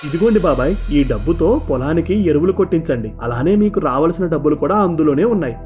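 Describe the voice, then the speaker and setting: A woman's voice: deep voice, expressive, fast talker, over music. A woman voicing a kind, polite male landowner in a cartoon. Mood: friendly. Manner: friendly, polite. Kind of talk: cartoon voice acting.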